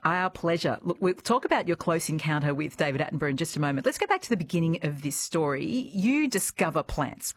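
A woman with an Australian accent hosting a conversational live radio interview. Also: low-pitched. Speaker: radio host.

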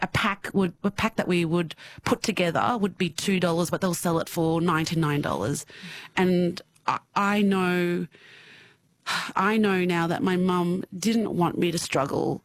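A woman's voice: lowish voice, a little hiss or hum. Australian woman, thirties, interview guest, candid, laughs often, stumbles and restarts. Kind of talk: personal interview.

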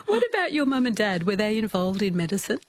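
A woman's voice: mid-range voice, very animated, quick pace. A woman working as an interviewer, putting questions in a conversational way.

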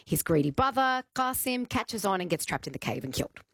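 A woman's voice: medium pitch, brisk, breathy edge, no echo, clean studio sound. Chatty woman radio host. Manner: lively, animated storytelling with many fillers. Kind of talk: casual radio chat.

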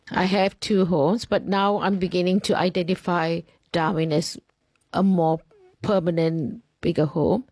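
A middle-aged woman from Singapore with a Singaporean accent, a teacher answering interview questions in measured, list-like phrases with pauses. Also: on the low side, darkish tone, no room sound.

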